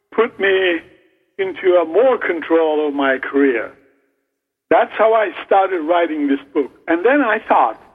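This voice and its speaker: A man speaks slowly and haltingly, restarting his sentences as he goes. His voice is husky and a little high. He comes through a narrow, phone-like line with a long reverb behind it. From Turkey. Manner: slow, halting, restarts phrases. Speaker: novelist guest. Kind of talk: radio interview. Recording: phone line, echoey hall.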